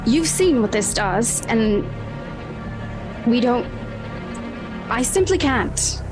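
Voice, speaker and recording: A woman's voice: fairly high pitch, expressive, slow, music in the background, pitched above the performer's own voice. A woman playing a proud young woman, clever and a little smug, who speaks in prim, formal words in a tabletop roleplay. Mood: firm, upset.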